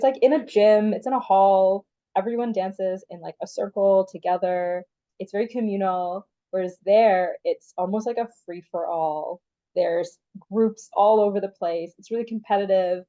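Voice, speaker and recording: A woman's voice: mid-range voice, warm tone, lo-fi sound. A young woman from Canada, the guest in a casual interview, talking in a chatty way with many "like" fillers. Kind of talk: casual conversation.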